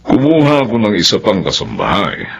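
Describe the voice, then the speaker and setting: Older father, gentle and wise, gravelly low voice, very lively delivery, radio drama. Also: a little fast, muffled recording, exaggerated voice.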